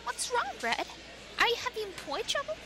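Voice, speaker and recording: Audio drama: bubbly, cutesy teenage girl, squeaky-high and animated, mischievous. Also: moderate pace, over music. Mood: gushing. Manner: cutesy, bubbly wording and delivery. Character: perky, giggly teenage girl, gushing and mischievous.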